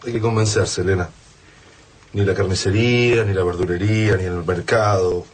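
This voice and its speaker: Low-voiced sober Argentine man. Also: steady tone, moderate pace, husky. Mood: sober, practical. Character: sober, practical male survivor, protective and resigned. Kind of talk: radio drama acting.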